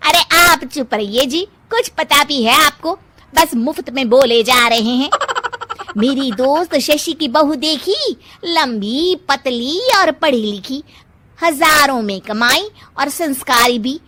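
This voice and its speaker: Shrill, pushy cartoon mother-in-law. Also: takes it slowly, bright, forceful tone, no echo, heavily acted. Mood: scolding. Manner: exclamatory, theatrical, scolding. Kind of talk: Hindi moral-story cartoon voice acting.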